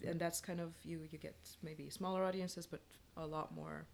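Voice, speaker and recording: A woman's voice: low-pitched, level tone, moderate pace, creaky, a little mellow, slight background noise. A woman chatting casually as a playwright guest on a panel, explaining things informally in short phrases.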